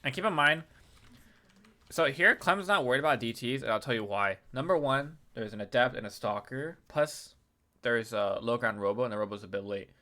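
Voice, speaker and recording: A man who is sick and sounds a bit nasal, casually breaking down a game replay as a video host, often clearing his throat. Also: medium pitch, moderate pace, sharp tone. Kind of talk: casual game analysis commentary.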